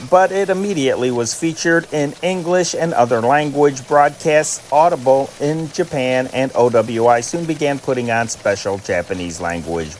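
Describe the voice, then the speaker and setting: Male news reader, somewhat high voice, formal old-time announcer phrasing, scripted dispatches over faint background noise. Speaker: news reader and channel host. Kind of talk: scripted newsreading of vintage wartime dispatches.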